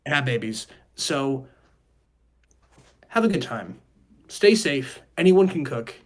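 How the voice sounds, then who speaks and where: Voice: mid-range voice, sing-song, slow pace. A young adult podcast host chatting casually in a group, jokey and given to repeating phrases.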